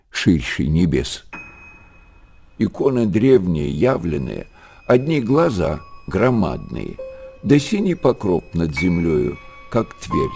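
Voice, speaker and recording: An actor narrating a monastery tale in a radio play, lyrical and measured, with dramatic pauses. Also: male voice, lowish voice, heavily acted.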